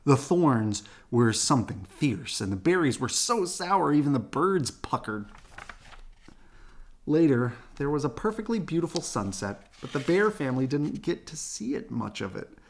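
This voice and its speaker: A man reading a children's picture book aloud in an animated storytelling style. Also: medium pitch, normal speed. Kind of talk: animated read-aloud narration.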